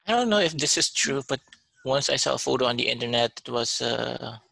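Brief: male podcast co-host, lively up-and-down delivery, hesitant. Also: medium pitch, a little fast, creaky, dry recording, rough recording. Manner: hesitant, trails off with fillers. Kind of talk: casual podcast chat.

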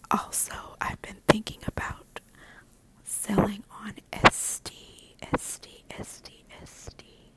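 A middle-aged woman hosting a podcast, talking in a soft, soothing ASMR whisper and repeating words as they fade away. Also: slow, poor audio. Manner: soft unvoiced whisper throughout. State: nervous. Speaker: podcast host.

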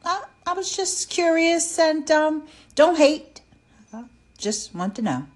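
A woman's voice: high voice, sing-song, slow pace. A woman calling in with a casual, hesitant and curious message.

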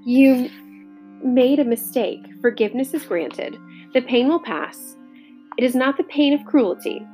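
A woman voicing a gentle, frail male alien who speaks slowly and formally. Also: sits high, faint music behind, pitched above the performer's own voice. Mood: weak.

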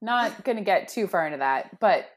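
A woman's voice: mid-range voice, expressive, normal speed. A woman co-hosting a podcast, talking casually and bluntly in an informal book discussion.

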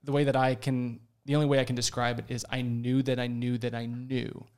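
A man's voice: mid-range voice, flat delivery, a little fast, a little mellow, smooth. A man in his twenties, a podcast guest, earnestly telling a personal story in casual talk. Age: 20s.

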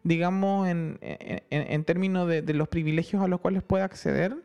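A man's voice: high voice, gravelly, smooth. A man with a Chilean accent co-hosting a podcast in casual, slangy chat, full of restarts. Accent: Chilean Spanish. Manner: slangy, animated, halting restarts. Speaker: podcast co-host.

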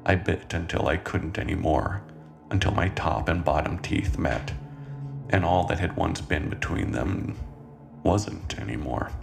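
A man playing a brooding, unsettled cannibal confessing his past in a slow, deliberate horror monologue. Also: sits low, gravelly, music in the background, full character voice. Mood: haunted, grim. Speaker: horror anthology writer and narrator. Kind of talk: first-person horror monologue, dramatic reading.

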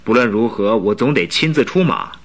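A man's voice: fairly low pitch, lively intonation, a little fast. An actor playing a haughty, secretive young king in a radio drama, speaking in a formal, grave and imperious manner. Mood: earnest. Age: young adult. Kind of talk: Mandarin radio drama acting.

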